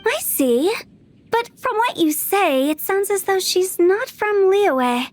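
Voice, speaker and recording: Mischievous teen girl, game character: very high voice, unhurried theatrical teasing, faint music behind. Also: big pitch swings, exaggerated voice. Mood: probing, playful. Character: playful, inquisitive, mischievous teenage girl. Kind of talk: video game voice acting.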